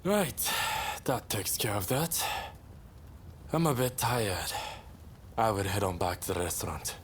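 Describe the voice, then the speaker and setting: A man's voice: on the low side, big pitch swings, unhurried, slight background noise. A man playing a courteous, roguish samurai rebel leader with wry, theatrical phrasing in a video game cutscene. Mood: wry, breezy.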